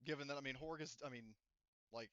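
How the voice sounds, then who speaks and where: A man talking casually as a game master, explaining things in a halting, repetitive way to players. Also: mid-range voice, sharp tone, clear, resonant tone, no room sound, dull audio. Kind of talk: casual unscripted discussion of a tabletop roleplaying game.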